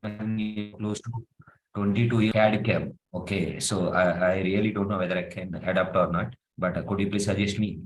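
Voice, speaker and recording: A man's voice: on the low side, steady tone, moderate pace, faint music behind, low-quality recording. A man from India in his forties, a prospective student on a video call, speaking hesitantly with many fillers.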